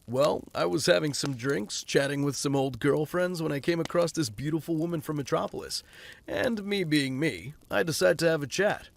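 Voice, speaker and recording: An actor playing a flippant, self-mocking rich playboy in an audio drama. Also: male voice, on the high side, moderate pace, creaky, slight background noise. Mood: breezy. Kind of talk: fan audio drama acting.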